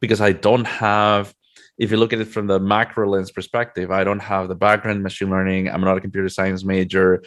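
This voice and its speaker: A man's voice: low voice, flat delivery, a little fast, fairly bright tone. A man from Mexico City with a Mexican accent, a native Spanish speaker, answering interview questions conversationally as a guest. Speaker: interview guest, product manager.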